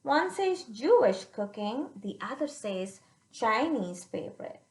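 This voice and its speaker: A woman reading a picture book aloud to children, pausing to explain it simply and check they follow, in a roomy recording. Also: on the high side. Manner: animated, checks understanding with listeners.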